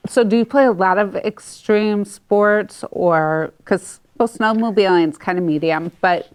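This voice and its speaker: A woman's voice: mid-range voice, measured pace, clean tone. A woman hosting an interview in a casual, chatty, informal way. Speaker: interview host.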